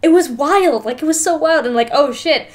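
A woman's voice: sits high, moderate pace. A young woman co-hosting a podcast, chatting casually and emphatically about a film, with plenty of swearing and filler likes. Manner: informal, sweary, emphatic, frequent "like". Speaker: podcast co-host. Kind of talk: casual film discussion chat.

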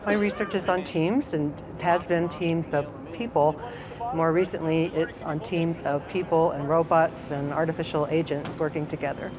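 A woman researcher explaining her work in an interview, measured and explanatory. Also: low-pitched, steady tone, crowd noise.

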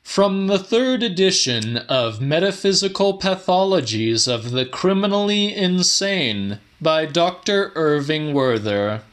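Guy doing a dramatic horror audiobook read, slow and measured, kinda theatrical. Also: fairly high pitch, very animated, fairly bright tone. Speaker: audiobook narrator.

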